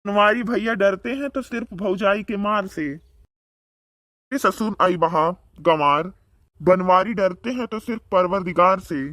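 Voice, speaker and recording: A man's voice: high voice, takes it slowly, sharp tone, dry recording, studio quality, full character voice. A man voicing a boastful, bickering village man in a comedy cartoon, speaking with an Awadhi accent and taunting banter. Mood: mocking. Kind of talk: comedic cartoon dialogue in rural dialect.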